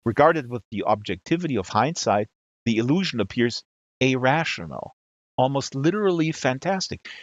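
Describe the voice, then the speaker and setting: A man reading a satirical novel aloud with deliberate, emphatic phrasing, in a dull lo-fi recording. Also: medium pitch, no echo. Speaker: reader of literary excerpts.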